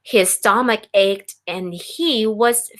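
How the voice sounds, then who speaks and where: A woman's voice: medium pitch, slow. A woman teacher reading a picture book aloud expressively to young children, addressing them directly and instructively.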